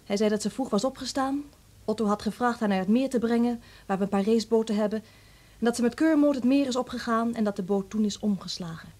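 A woman's voice: a little high, quick pace, darkish tone, natural voice. An actress playing a reserved, guarded young woman in a Dutch radio drama, speaking reticently. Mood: confiding, grave.

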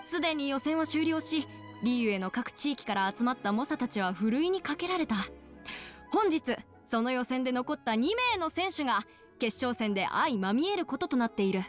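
Young anime game lady mc, high voice, formal and proper, announcing a contest, faint music. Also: expressive. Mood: formal, proud. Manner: clear, measured, ceremonious. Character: young female legal adviser, poised formal contest announcer.

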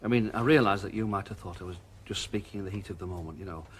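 An actor playing a fussy but soft-hearted middle-aged shopkeeper, blustering one moment and tender the next. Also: male voice, low-pitched, racing, husky. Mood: earnest. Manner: bustling, blustering then tender. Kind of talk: TV soap drama acting.

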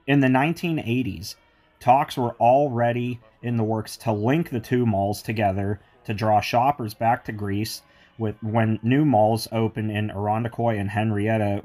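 A man's voice: lowish voice, steady tone, a little slow, darkish tone. A man giving an enthusiastic walking tour of a mall, mixing its history with chatty asides. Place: indoor shopping mall.

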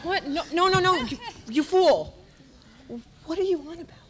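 A woman's voice: very high voice, lively intonation, normal speed, a crowd in the background. A woman playing an irritable, confused old doctor in a radio soap on stage. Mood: cranky. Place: theater stage.

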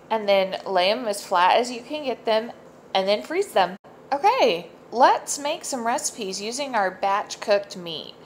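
Woman home cook, thirties, casual upbeat tutorial narration with asides; slight background noise. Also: medium pitch. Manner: chatty, upbeat, lots of asides and fillers. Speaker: home cooking vlogger. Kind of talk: casual cooking tutorial voice-over. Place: home kitchen.

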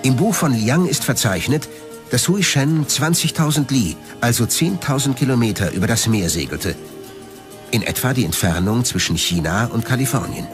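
A man's voice: medium pitch, expressive, normal speed. A man reading scripted documentary narration. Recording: music behind the voice. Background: background music.

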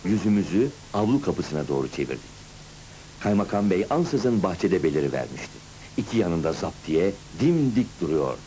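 A man narrating a cartoon story in the first person with expressive, dramatic storytelling. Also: low voice, moderate pace, a little hiss or hum, rough recording. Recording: music behind, old cartoon soundtrack. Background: music.

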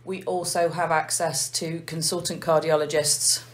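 An English woman, a nurse manager, calmly explaining a heart failure clinic in an interview. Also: low voice. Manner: measured, methodical explaining.

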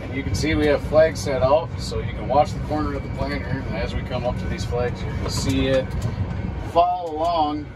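A man walking through tractor display features step by step in a casual demo, recorded inside a tractor cab in a field. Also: brisk. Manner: plain, step-by-step explaining. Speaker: precision ag specialist demonstrating equipment. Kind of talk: casual hands-on demo narration. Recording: audible engine noise in the cab. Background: tractor engine rumble.

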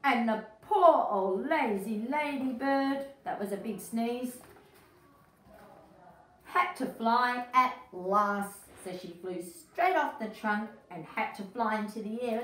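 A middle-aged woman, an educator, reading a picture book aloud to young children in an expressive, emphatic way. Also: fairly high pitch, takes it slowly. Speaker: early childhood educator, story-time host. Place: small indoor room. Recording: echoey room, distant mic, faint noise.

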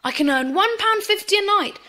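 A woman's voice: very high voice, expressive, brisk, sharp tone, full character voice. A woman playing an earnest, polite British schoolboy in a children's audio drama. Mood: earnest, eager.

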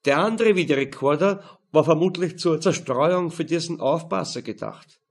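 A man voicing a calm, fat police detective in an audiobook, explaining evidence matter-of-factly. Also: on the high side, normal speed, slightly rough, pitched above the performer's own voice.

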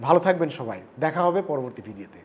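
A high-voiced man with a Bangladeshi accent speaks in a casual vlog style. He is earnest and polite, and he drops English words into his talk like a consultant advising people. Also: sing-song, fast talker, slight background noise. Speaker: vlogger and visa consultant.

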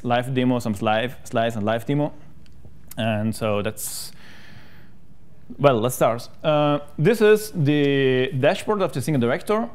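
This is a man. He speaks from a conference room stage in a smooth, clear, mid-pitched voice. His delivery is casual and self-mocking, with frequent fillers and restarts. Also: darkish tone. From South Tyrol, Italy. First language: German. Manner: chatty, self-deprecating, many fillers and restarts. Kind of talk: technical conference talk.

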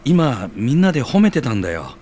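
An actor playing a gentle, considerate, friendly senior colleague in an audio drama, choosing soft, calming words. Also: male voice, medium pitch, a little fast, husky. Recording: audible background noise.

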